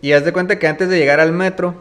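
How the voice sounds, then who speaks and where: A man's voice: high-pitched, fairly even intonation, fast, fairly bright tone. A man in his thirties with a Baja California accent, telling stories in a slangy, easygoing interview and tagging nearly every phrase with "güey". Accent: northern Mexican, Baja California. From Ensenada, Baja California, Mexico. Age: 30s. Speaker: musician guest. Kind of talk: casual interview storytelling.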